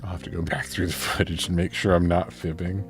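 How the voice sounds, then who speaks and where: A man chatting casually during a livestream while he paints miniatures, relaxed and rambling, often correcting himself. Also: deep voice, fast talker, soft background music.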